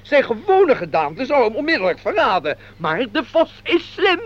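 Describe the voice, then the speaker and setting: A man's voice: very high-pitched, very animated, moderate pace. A man acting a fussy, jolly outing organiser in a Dutch radio play, talking like a formal announcer with chuckles. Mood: pompous, teasing. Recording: dull radio play recording, busy group behind.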